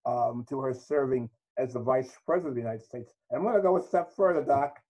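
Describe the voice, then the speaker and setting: A man's voice: mid-range voice, fairly quick, dark tone. An older man from Trinidad, a guest author, telling his life story in a reflective interview. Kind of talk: interview conversation.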